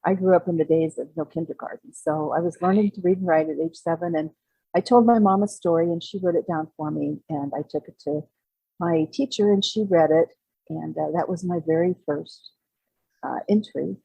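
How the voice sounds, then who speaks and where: A woman in her sixties, a guest author telling personal stories in a casual, halting conversational way. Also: on the low side, gravelly, warm tone, breathy edge, no room sound, rough recording. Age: 60s. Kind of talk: casual interview, personal storytelling.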